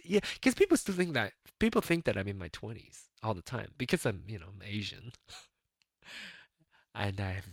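A man's voice: medium pitch, no echo. A man in his late thirties hosting a casual solo podcast, rambling and chatty and laughing often as he talks. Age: 30s. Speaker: podcast host.

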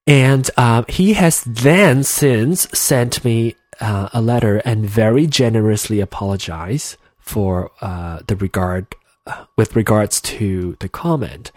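A man's voice: fairly low pitch, slow, a little mellow, no room sound. Earnest Malaysian man talking on his podcast. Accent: Malaysian English mixed with American. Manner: earnest, hesitant, many restarts and fillers. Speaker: podcast host. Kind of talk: solo podcast monologue. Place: home room with a heater running.

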